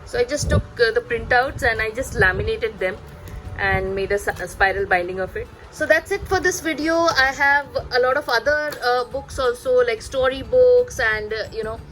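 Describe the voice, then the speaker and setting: A woman in her thirties, a native Bengali speaker, chatting hesitantly as a parenting vlogger, pausing and repeating words. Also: on the high side, fairly bright tone, faint music behind, rough recording. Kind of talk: casual chatty vlog recommending books.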